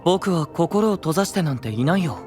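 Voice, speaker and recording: A man plays a gentle, mysterious young knight leader in an anime audio drama. His fairly high voice stays composed and measured, with faint music behind it. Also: lively intonation, natural voice. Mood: quietly troubled. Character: gentle-spoken, enigmatic, idealistic young male knight leader. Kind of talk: anime-style voice drama acting.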